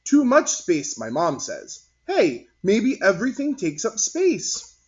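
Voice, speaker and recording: A man's voice: high-pitched, huge pitch swings, slow pace, a little mellow, breathy edge, voice pushed up, full character voice. A man voicing a curious, eager young schoolboy in a picture-book read-aloud. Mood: enthusiastic. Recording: dull, lo-fi recording.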